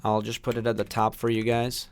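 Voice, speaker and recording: Young male course instructor from Pakistan, smooth clear voice, easygoing livestream host. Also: medium pitch, monotone, brisk. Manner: chatty, reads viewer names and questions aloud. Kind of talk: casual livestream Q&A.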